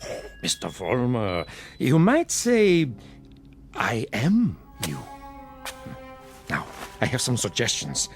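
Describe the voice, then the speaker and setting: An actor playing a sinister, courtly mentor in a radio drama, suave, deliberate and faintly condescending. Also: male voice, mid-range voice, wildly swooping pitch, faint music behind, exaggerated voice. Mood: sly, insinuating.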